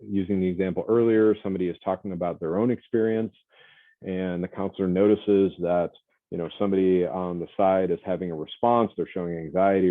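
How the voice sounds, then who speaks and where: A man lecturing to a college class in a loud, projecting voice, talking conversationally with many fillers and self-corrections. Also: sits low, dry recording. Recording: dry, phone-like lo-fi audio.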